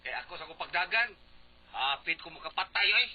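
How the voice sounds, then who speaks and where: An actor playing a rambling old father and failed candidate in a comic Cebuano radio drama, with a husky voice. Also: fairly high pitch, animated, brisk. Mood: rambling, evasive. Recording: dull lo-fi radio broadcast.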